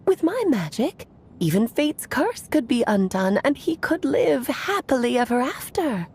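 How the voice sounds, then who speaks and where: An actress voicing Alice, a caring, playful fairy-tale mage in a game cutscene, with a gentle, concerned manner. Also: female voice, high-pitched, very animated, a little slow, a little hiss or hum, heavily acted. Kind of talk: story cutscene voice acting. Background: soft music.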